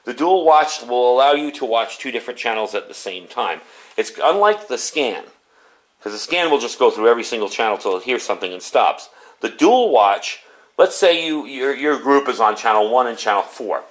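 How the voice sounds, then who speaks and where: A man's voice: mid-range voice, big pitch swings, moderate pace. A man giving a casual, unscripted product review in a chatty, conversational way.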